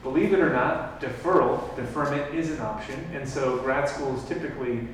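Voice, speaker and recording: A man's voice: medium pitch, normal speed, slight background noise. A man organizing an event, making loose announcements to the audience with many fillers. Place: large room at a live event. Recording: echoey hall, speaking from far off.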